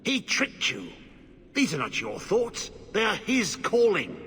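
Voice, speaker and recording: An actor playing an unhinged, half-corrupted man in a fantasy game, fearful and pleading, with a halting, muttering, gravelly delivery. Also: high-pitched, huge pitch swings, pushed and bright, full character voice. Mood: frantic pleading. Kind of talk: dramatic fantasy game acting. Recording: long cavernous reverb, background noise.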